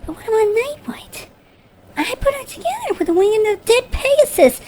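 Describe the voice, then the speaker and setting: A woman voicing an anxious, bookish young female unicorn pony in an animated fan drama, dramatic and emphatic. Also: very high voice, fairly quick, slight background noise. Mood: distressed. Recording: music behind the voice. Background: background music.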